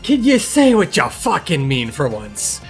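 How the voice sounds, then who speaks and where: A man's voice: a little high, wildly swooping pitch, a little slow, faint music behind, exaggerated voice. A man voicing a snarky, exasperated blind medicine cat in an improvised fan Q&A, rambling sarcastically and swearing. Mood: sardonic. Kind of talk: improvised character Q&A in casual chat.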